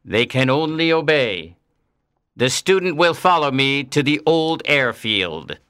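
A man playing a scheming alien in disguise in a dramatised audiobook, deliberate and menacing. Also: a little high, very animated, sharp tone, exaggerated voice. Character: sinister scheming male alien posing as teacher.

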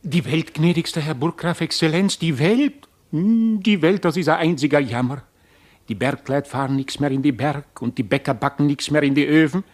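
An actor playing a folksy, lamenting news-bearer, rambling obsequiously in dialect-coloured speech in a radio play. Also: male voice, fairly high pitch, moderate pace, natural voice. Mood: lamenting, gossipy. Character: folksy, lamenting gossip bringing news to his master. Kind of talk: theatrical radio drama acting.

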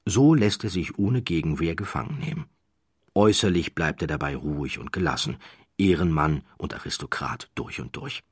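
Deep husky guy narrating an audiobook, dramatic storyteller style, really emphatic phrasing. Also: expressive, normal speed, dry recording, clean studio sound. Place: dry studio.

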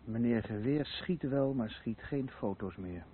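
A man's voice: mid-range voice, a little slow, close to an everyday voice. An actor playing a bitter, sardonic male neighbour in a naturalistic radio play, speaking with scornful emphasis. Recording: tinny narrow-band old radio recording, noisy.

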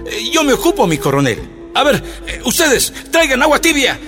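Shrill, swooping, stammering army sergeant. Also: male voice, huge pitch swings, normal speed, music in the background, full character voice. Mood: nervous, bustling. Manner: stammering, hesitant, repeating himself. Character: flustered male army sergeant, timid with superiors, scolding prisoners. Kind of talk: radio drama acting.

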